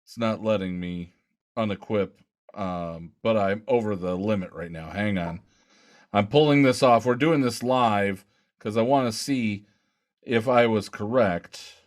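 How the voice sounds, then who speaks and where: A man's voice: lowish voice, measured pace. A man co-hosting a gaming podcast, chatting casually and thinking aloud as he tries things out in a game. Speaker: podcast co-host.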